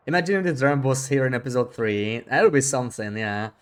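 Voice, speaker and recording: A man's voice: mid-range voice, quick pace, clear, resonant tone. Man chatting casually while streaming a game. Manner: thinks aloud, with frequent restarts and repeats. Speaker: live streamer. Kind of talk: casual gameplay chat, reading viewer comments.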